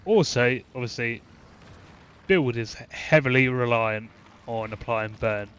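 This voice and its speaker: A man's voice: medium pitch, a little slow, fairly bright tone, smooth, dull audio. A man with a stuffy, blocked-up voice from a cold, casually explaining a game build with lots of fillers and restarts. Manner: rambling, frequent fillers and restarts. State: congested from a cold. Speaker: gaming channel host. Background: game gunfire and explosions.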